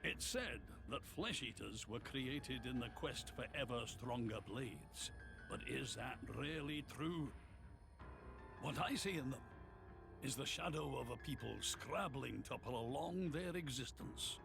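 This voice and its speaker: A man playing a stern, brooding military commander in a video game, cold, measured and formal. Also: medium pitch, expressive, gravelly, over music. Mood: brooding disdain. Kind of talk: video game cutscene acting.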